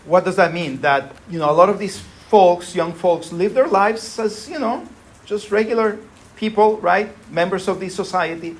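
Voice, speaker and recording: A man's voice: high-pitched, normal speed, slight background noise. A man giving a university lecture in a conversational way, often checking in with his listeners. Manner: conversational, frequent tag "right?".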